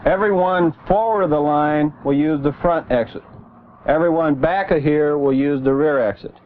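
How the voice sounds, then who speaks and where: Higher-voiced man directing a drill, tinny sound. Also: moderate pace. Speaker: school bus driver leading a drill. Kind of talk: giving step-by-step drill instructions. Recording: tinny, noisy 1970s film location sound.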